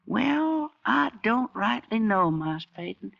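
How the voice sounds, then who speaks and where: An actor playing a good-natured freed slave in an old-time radio drama, with a folksy, meandering Southern drawl. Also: male voice, sits high, sing-song, takes it slowly, vocal fry, full character voice. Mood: folksy, wistful. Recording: muffled old radio broadcast.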